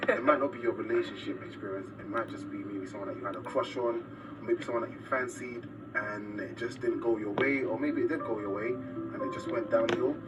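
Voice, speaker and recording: A man hosts a casual interview, reacting to his guest with excited exclamations. He sits a little away from the microphone, and loud music plays under his voice. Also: fairly low pitch, brisk. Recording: voice a bit distant from mic. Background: loud background music.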